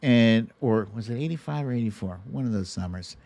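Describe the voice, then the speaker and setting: A middle-aged American man hosting a show, talking casually and emphatically in short, deliberate phrases as he gives commentary and tells stories. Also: medium pitch, lively intonation, creaky, a little mellow. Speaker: show host. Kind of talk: casual monologue, commentary and storytelling on a livestream.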